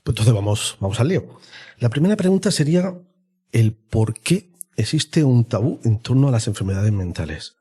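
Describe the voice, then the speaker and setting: A man's voice: mid-range voice, big pitch swings, normal speed, dark tone, slightly rough. A man with an accent from Spain hosting a podcast in a conversational interview style. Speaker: podcast host.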